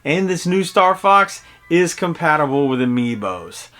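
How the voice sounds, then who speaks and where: A man in his early forties giving a casual, conversational video game review in a halting, reflective way. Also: fairly high pitch, sing-song, a bit bright, faint music behind. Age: early 40s.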